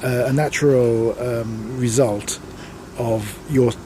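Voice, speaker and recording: A man posing literary interview questions in a deliberate, halting way with formal wording. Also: medium pitch, steady tone, background noise. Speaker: interviewer, writer.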